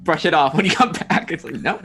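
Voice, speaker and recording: A woman's voice: low voice, lively intonation, a little fast, over music. A woman playing a tabletop roleplaying game, talking casually with the group.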